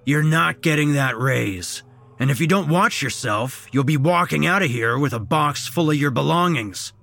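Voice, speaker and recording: A man voicing a gruff, impatient, bullying boss in a dramatized story, curt and domineering. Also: medium pitch, animated, measured pace, a bit bright, faint music behind, voice pushed up, heavily acted. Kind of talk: dramatized short story reading.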